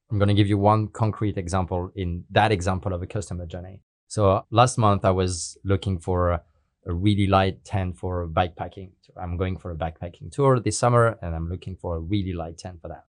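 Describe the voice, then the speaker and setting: Low-voiced man explaining methodically. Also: no room sound, studio quality. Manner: careful, methodical, with non-native phrasing. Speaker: guest speaker. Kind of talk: explanatory interview answers.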